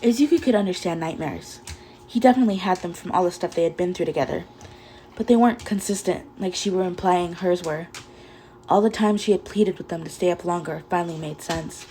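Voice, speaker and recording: A woman narrating fan fiction in an expressive amateur storytelling style. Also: on the low side, fairly quick, a little hiss or hum.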